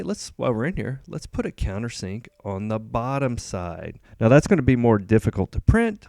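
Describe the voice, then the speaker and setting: A man hosting a casual screen-recorded coding tutorial, relaxed and rambling with frequent ums and uhs. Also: mid-range voice, dry recording, studio quality. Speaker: host.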